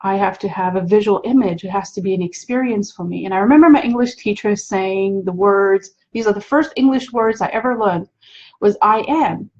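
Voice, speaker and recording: A woman from South Africa with a South African accent, a native Afrikaans speaker, giving a reflective, unhurried casual talk as host. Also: mid-range voice, a little mellow, dull audio. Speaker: hypnotherapist host.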